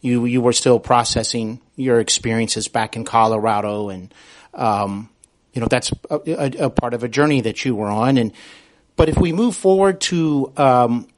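A man's voice: mid-range voice, moderate pace. A man interviewing a guest as podcast host, conversational and reflective, with hesitant phrasing.

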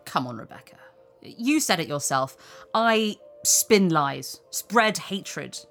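Woman as a cynical celebrity presenter, dry and offhand, very low voice, swooping intonation. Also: expressive, slow, a bit bright, faint music behind, heavily acted. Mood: wistful. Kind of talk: audio drama acting.

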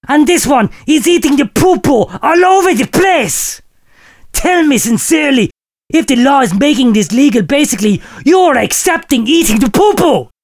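A man hosting a comedy podcast, reading out listener letters in a mock-solemn, preacherly delivery that keeps breaking into laughter. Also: very high-pitched.

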